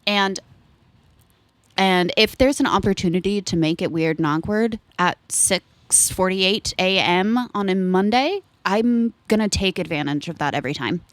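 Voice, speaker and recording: A woman's voice: medium pitch, measured pace, dry recording. A woman in her thirties co-hosting a podcast, playful and earnest in casual chat. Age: 30s. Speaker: podcast co-host.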